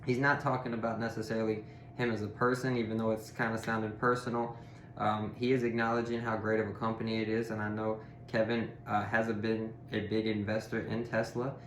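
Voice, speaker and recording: Low-voiced man in a roomy echo. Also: little pitch movement, brisk, fairly bright tone. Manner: chatty, informal asides. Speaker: reaction video host. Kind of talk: casual commentary. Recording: roomy echo, faint noise.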